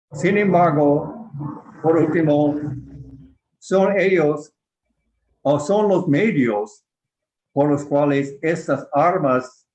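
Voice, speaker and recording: A man's voice: fairly high pitch, darkish tone, rough recording. Hesitant man speaking a learned language. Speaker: participant in a study group. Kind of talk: casual online group discussion. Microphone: video call.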